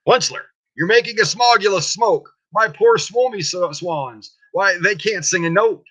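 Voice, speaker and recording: A man's voice: high-pitched, normal speed, a bit bright, voice pushed up. A man voicing an indignant, scolding old male forest creature in a storybook reading. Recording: dull lo-fi close recording.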